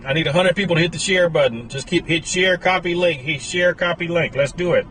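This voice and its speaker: A man's voice: a little high, brisk, fairly bright tone, slight background noise, poor audio. A man live-streaming, pushing viewers to share and like while giving casual commentary on a street scene. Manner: hypes viewers, repeatedly urging shares and likes. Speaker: live streamer monitoring a police scanner.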